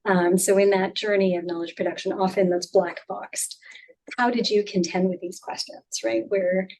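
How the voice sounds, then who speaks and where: A woman's voice: medium pitch, steady tone, moderate pace, darkish tone. A woman acting as discussant, asking a careful, hedging academic question.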